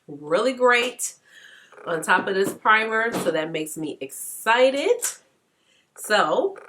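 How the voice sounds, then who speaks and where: A woman's voice: mid-range voice, fairly bright tone. A congested woman with a stuffy nose, chatting casually through a makeup tutorial and laughing often. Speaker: beauty vlogger.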